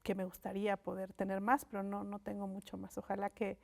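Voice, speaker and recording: A woman from Mexico, a native Mixe speaker, talks as a studio interview guest. Her voice sits in a middle register, and she builds her points slowly, stopping for fillers and restarting phrases as she thinks aloud. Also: medium pitch, monotone, vocal fry, a little mellow. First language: Mixe (Ayuujk). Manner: reflective, frequent fillers and restarts. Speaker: guest, linguist and writer. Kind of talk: thoughtful conversational interview. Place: TV studio set.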